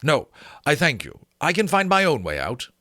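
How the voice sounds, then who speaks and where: A man voicing a learned, apologetic, fretful gentleman in a formal, emphatic storybook reading. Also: on the high side, huge pitch swings, normal speed, dry recording, clean studio sound, voice pushed up, full character voice. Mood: courteous. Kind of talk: dramatic audiobook reading.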